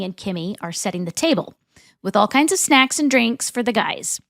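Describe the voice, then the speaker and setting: Bright-voiced woman recapping a sitcom episode. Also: fairly high pitch, dry recording, studio quality. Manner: recounting scenes, quick to laugh. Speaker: podcast co-host. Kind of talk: episode recap narration and chat.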